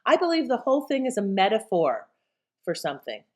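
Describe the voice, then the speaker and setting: A woman's voice: mid-range voice, huge pitch swings, a little fast, a little mellow. A woman speaks as a host in a mid-range voice. Her style is casual commentary, addressed directly to the listener. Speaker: host introducing a radio show.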